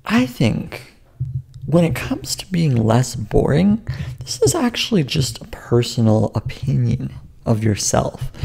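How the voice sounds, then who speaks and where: Male YouTuber, calm low voice, mellow with gravelly fry, rambling to-camera advice. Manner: rambling and self-interrupting, with restarts. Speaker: YouTube creator giving advice. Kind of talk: casual advice vlog to camera.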